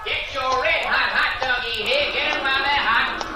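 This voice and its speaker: A woman playing an amusement park hot dog vendor with a drawn-out sing-song cry in an old radio drama. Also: sits high, close to an everyday voice. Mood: hawking. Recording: distant, echoing old radio recording, music.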